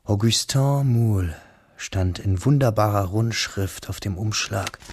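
An actor playing a grieving young schoolteacher who narrates his memories in a slow, hushed, sorrowful way for a radio drama. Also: on the low side, full character voice. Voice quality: husky, breathy, gravelly.

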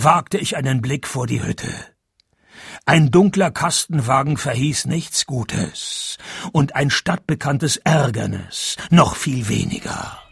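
Raspy, cynical private detective. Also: male voice, a little high, fairly bright tone, no room sound, exaggerated voice. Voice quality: raspy, gravelly. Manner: dry, sardonic, world-weary. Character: cynical, grumpy male private detective, middle-aged. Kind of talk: German-language radio play, dialogue and first-person narration.

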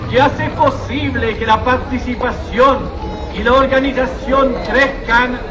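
Very high-pitched man preaching slowly amid crowd. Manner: declamatory, repeating refrains. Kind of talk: public prayer speech to a crowd. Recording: noisy outdoor archive recording with crowd.

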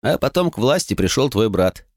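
A man's voice: fairly low pitch, sing-song, normal speed, no room sound, pitched above the performer's own voice. A bitter young noblewoman who feels betrayed, as a male audiobook reader puts her on. She confides earnestly and ruefully, lifted well above the reader's own register. The sound is dry and close. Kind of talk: audiobook character reading.

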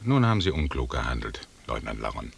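Very deep, husky expedition commander. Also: male voice, very animated, heavily acted. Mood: measured reproach. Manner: measured, formal, authoritative. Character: calm, imperious British expedition leader. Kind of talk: German radio drama acting.

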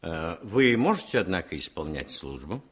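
An actor playing an aloof regimental colonel in a radio play, speaking in a weak, lazily sad, hesitant drawl. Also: male voice, sits low, sing-song, normal speed, breathy edge, heavily acted. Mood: cool, languid. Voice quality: weak, listless. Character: aloof, condescending, languid male regimental colonel.

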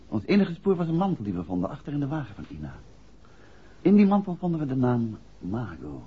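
Casting: unflappable, polite sleuth in 1960s radio serial; slightly rough voice, muffled old recording. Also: male voice, medium pitch. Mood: serious, explanatory. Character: composed, courteous male amateur detective, briskly businesslike. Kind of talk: 1960s radio play acting.

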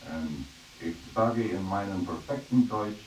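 Jolly army major radio host, deep, far off w/ hiss. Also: male voice, measured pace, a little mellow. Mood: jovial. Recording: distant, echoey, hissy radio broadcast.

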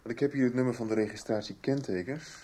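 An actor playing a brisk, bookish amateur sleuth in a 1990s Dutch radio soap. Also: male voice, mid-range voice, warm tone, slight background noise, natural voice. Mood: brisk, businesslike.

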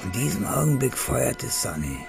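A man's voice: medium pitch, sing-song, moderate pace, vocal fry. A man delivering scripted narration for a hardboiled German radio crime drama. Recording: loud music behind narration.

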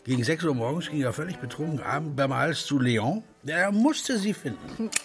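A man's voice: fairly high pitch, lively intonation, normal speed. A man giving scripted narration of a literary story in a radio play. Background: music.

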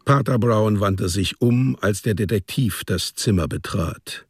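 A man reads scripted narration for a radio play. His voice is deep and rough-edged, in a close, dry sound. The storytelling is measured and understated. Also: no echo.

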